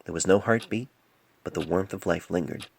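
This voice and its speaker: A man narrating a scripted story in a solemn, measured way, recorded amateurishly on a cell phone mic. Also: sits low, gravelly, a little hiss or hum. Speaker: amateur fan fiction author and narrator.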